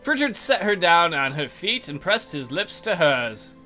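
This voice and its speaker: A man narrates a visual novel as a let's play host. His voice sits high and swings widely in pitch. He mixes reading with sarcastic, incredulous asides over faint music. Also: big pitch swings, a little slow, bright, forceful tone.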